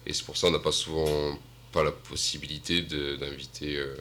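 A man who works as a DJ and producer, talking modestly and haltingly as a radio guest. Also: a bass voice, level tone, sharp tone, slight background noise. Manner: modest, halting, filler-heavy. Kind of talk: casual interview talk.

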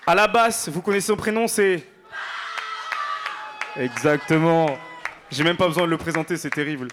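A man's voice: fairly high pitch, moderate pace, a crowd in the background, noisy background. A male singer chatting casually as an interview guest on stage, informal and playful.

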